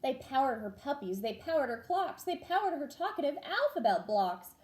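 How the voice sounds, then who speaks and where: A young first grade teacher reads a rhyming picture book aloud in a bright, high voice. Her delivery is sing-song and animated, rising and falling with the verses. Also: normal speed. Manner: expressive, sing-song storytelling.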